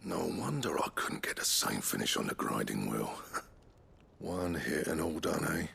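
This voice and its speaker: Very gravelly blacksmith. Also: male voice, rumbling low, expressive, unhurried, bright, forceful tone, heavily acted. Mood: wry, sceptical. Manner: blunt, colloquial, wry. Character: gruff, proud older male blacksmith. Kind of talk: video game cutscene acting.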